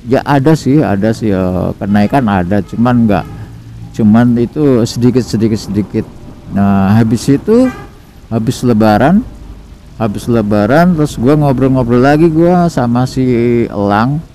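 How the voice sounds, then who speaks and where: A young man with a Jakarta slang accent rambles casually to himself on a late motorcycle ride, full of fillers and restarts. His voice sits in a middle pitch. Traffic and engine noise run clearly under the helmet-camera recording. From Indonesia. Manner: rambling, relaxed, many fillers. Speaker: motovlogger. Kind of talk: casual solo ride vlog. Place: riding a motorcycle at night on city streets. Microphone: helmet-mounted action camera. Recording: road and traffic noise behind.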